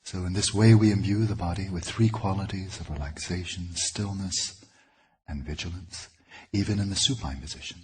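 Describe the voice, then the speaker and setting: A man leading a guided meditation as a teacher, speaking in deliberate, measured phrases with pauses. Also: deep voice, darkish tone, slightly rough.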